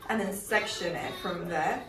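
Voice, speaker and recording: A woman's voice: lowish voice, unhurried. A young woman explains a toddler hairstyle in a chatty, instructive way, often correcting herself mid-sentence. She is recorded from a distant camera in her living room. The audio sounds roomy, with music playing underneath. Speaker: mother doing her toddler's hair. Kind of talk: casual hair tutorial. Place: living room with Christmas decor. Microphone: far camera.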